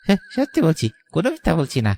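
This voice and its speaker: A man's voice: a little high, huge pitch swings, very fast, gravelly, a little mellow, heavily acted. A brash hired killer from a cartoon horror tale. The voice swoops wildly up and down. He blusters like a gang boss, exaggerated in every phrase, with a coward underneath. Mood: frightened, pleading. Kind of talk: animated horror story acting.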